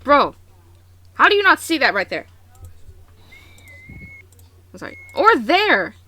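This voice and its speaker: A woman hosting a reaction video, commenting casually in an animated, exclamatory, slangy way. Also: high-pitched, slow.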